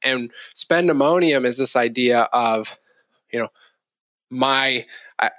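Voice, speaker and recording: A man's voice: mid-range voice, takes it slowly, a bit bright, no room sound. A man speaking as a guest in a casual interview, conversational and given to joking asides. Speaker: podcast guest, marketing executive. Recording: dull, muffled audio.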